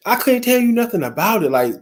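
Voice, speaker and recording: Man from the US, co-host, slightly high voice, earnest and rambling. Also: very animated, fast. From United States. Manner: earnest, thinks aloud with many fillers. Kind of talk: casual chat.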